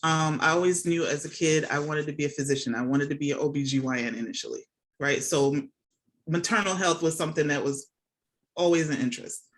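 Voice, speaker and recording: A woman's voice: low-pitched, a little fast. A middle-aged woman talks as a guest on a podcast. Her delivery is chatty and casual, explaining things conversationally and often checking that the listener follows. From born and raised in Jamaica, moved to the United States as a child. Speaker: podcast guest, nurse and academic. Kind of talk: casual conversational interview answers. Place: remote video call from home.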